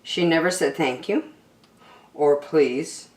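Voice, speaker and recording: A woman reading a picture book aloud to children in an expressive way, drawing out key words for emphasis. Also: deep voice, slow, reverberant. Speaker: children's story reader.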